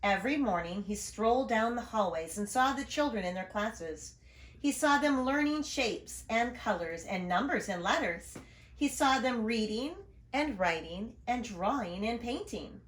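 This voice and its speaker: A woman's voice: a little high, measured pace. A woman reading a children's story aloud expressively, adding little asides about the pictures. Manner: expressive, storytelling to young listeners. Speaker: storybook reader, educator. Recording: roomy, echoing room sound.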